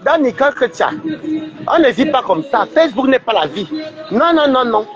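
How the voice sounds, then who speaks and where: A man's voice: extremely high pitch, big pitch swings, moderate pace, highs cut off, over music. A man with a cold, rambling and scolding on a livestream, with many stumbling restarts. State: stuffy with a cold.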